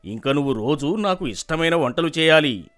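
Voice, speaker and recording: A man voicing an imperious village landlord in an animated moral story, bossy and exclamatory, effusive when pleased and quick to rage. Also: on the high side. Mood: delighted.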